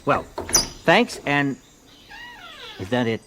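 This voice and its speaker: Fumbling, animated detective. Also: male voice, mid-range voice, noisy background. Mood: puzzled, fumbling. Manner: halting, repeats words back, muddled. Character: bumbling, persistent American detective struggling with Portuguese. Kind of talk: comic radio drama acting.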